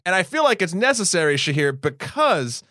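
A man's voice: high-pitched, big pitch swings, moderate pace, sharp tone, no room sound, studio quality. A man from Massachusetts hosting a casual podcast chat, leading the talk with jokes and plenty of fillers. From Massachusetts, United States. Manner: jokey, leads the talk, many fillers. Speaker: podcast host. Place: small room.

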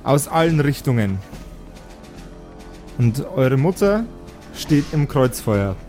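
A man's voice: mid-range voice, very animated, slow, over music. A man acting as game master, narrating an improvised tabletop role-playing game with dramatic storytelling between casual rules talk.

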